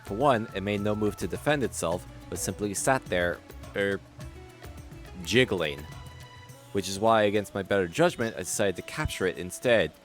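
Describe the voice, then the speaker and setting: A man's voice: on the low side, normal speed, fairly bright tone, faint music behind, close to an everyday voice. A man reading a scout soldier's lines aloud from a game in his own voice. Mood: earnest. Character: earnest scout soldier reporting a creature.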